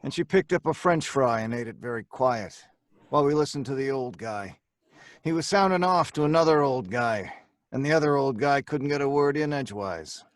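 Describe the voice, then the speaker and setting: A man plays a cool, laconic crook telling his own story in a radio play. The sound is dry and close, with a medium-pitched voice. His narration is terse, plain-spoken and hardboiled. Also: expressive, normal speed, no echo, natural voice. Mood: wry, watchful. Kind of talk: first-person hardboiled radio drama narration.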